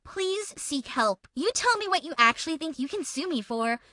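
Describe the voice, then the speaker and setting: A woman's voice: high-pitched, lively intonation, normal speed, bright, forceful tone. A woman playing a belligerent, self-important woman in a feud, taunting and accusatory, as a theatrical dramatic reading. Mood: indignant. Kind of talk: dramatic reading of a text exchange.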